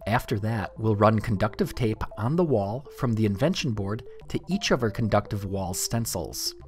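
A man reading a scripted DIY tutorial narration in a clear, step-by-step instructional way. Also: fairly low pitch, lively intonation, normal speed, faint music behind.

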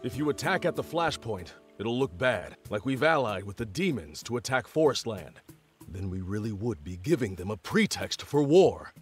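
A gruff, shrewd army officer from a video game. His voice sits low and moves expressively, with a matter-of-fact way of laying things out. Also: big pitch swings, moderate pace, soft background music. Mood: cautioning.

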